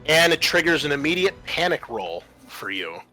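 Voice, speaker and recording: A man runs a tabletop roleplaying game as game master. His voice is bright and forceful, and he lays out the rules methodically, step by step. Music plays behind him. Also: fairly high pitch, very animated, moderate pace, poor audio. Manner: methodical, explanatory.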